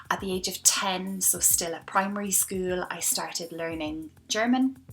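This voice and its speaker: Chatty Irish woman teacher, gravelly edge. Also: fairly low pitch, pushed and bright, poor audio. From Ireland. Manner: chatty, anecdotal, with frequent ums. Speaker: English teacher. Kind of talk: casual teaching vlog.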